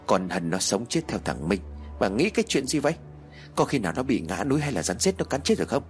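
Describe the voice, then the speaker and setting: A man voicing an irritable older husband, gruff and complaining. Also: fairly low pitch, sing-song, quick pace, soft background music. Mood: irritated.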